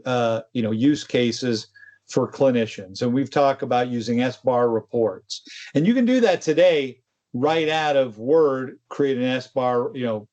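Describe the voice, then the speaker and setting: A man's voice: medium pitch, darkish tone. A man co-hosting a tech show, explaining in a casual, conversational way with informal asides. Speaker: co-host of a tech discussion show.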